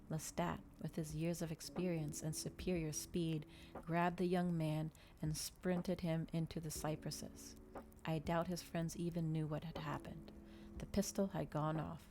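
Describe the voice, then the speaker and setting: A woman reading a story aloud in ASMR style, soft-spoken and measured, as a streamer narrating a novel. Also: low-pitched, slight background noise.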